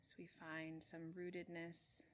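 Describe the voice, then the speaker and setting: A woman leads a guided meditation in a slightly low voice that stays very even in pitch. She speaks gently in short, measured phrases and leaves long pauses between them. There is faint noise and ambience behind her. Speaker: meditation teacher. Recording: clearly noisy with room ambience.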